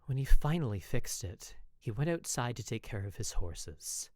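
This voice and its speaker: An expressive, measured narrator reading a first-person dramatic story. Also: medium pitch, no room sound. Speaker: podfic narrator.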